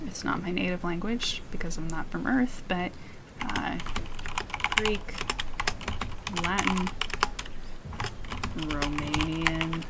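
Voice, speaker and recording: A woman streamer talking in a quiet, soft voice during a chatty ASMR stream, rambling and often breaking off mid-thought. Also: lowish voice. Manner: quiet voice, rambling and self-interrupting. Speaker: VTuber streamer. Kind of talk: soft-spoken ASMR chat. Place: home streaming setup with storm ambience. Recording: faint background noise of storm sounds and typing.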